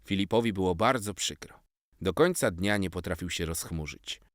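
Low-voiced, animated male storyteller. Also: normal speed, no room sound, clean studio sound. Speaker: children's story narrator. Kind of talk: expressive scripted storytelling for children.

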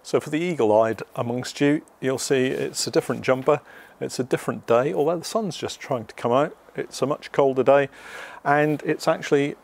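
A man's voice: mid-range voice, normal speed, vocal fry, a little hiss or hum. Chatty British man presenting casually. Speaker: vlog host. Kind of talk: casual unboxing narration to viewers.

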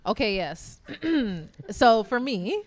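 A woman's voice: on the high side, very animated, drawn-out words, dry recording. A woman comedian in her thirties chatting playfully on a podcast, laughing and emphatic, speaking into a handheld mic in a small studio. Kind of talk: casual comedic chat. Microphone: handheld mic held close.

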